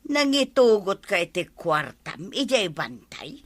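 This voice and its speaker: A woman's voice: medium pitch, very animated, slow pace, no room sound. A woman playing a thrifty, nagging but affectionate village wife in a comedic radio drama, scolding in colloquial exclamations.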